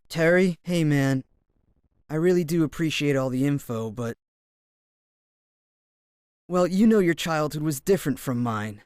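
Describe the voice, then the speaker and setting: A man's voice: fairly high pitch, no room sound, clean studio sound. A man voicing a brash, brooding young martial-arts fighter in a video game, with terse, cocky, dramatic delivery. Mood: subdued, sincere. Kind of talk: fighting game character voice clips. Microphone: close dry studio recording.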